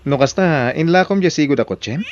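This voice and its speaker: A man's voice: on the high side, expressive, normal speed, slight background noise. A man playing a helpful, teasing brother-in-law in an Ilocano radio drama. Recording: dull, muffled sound.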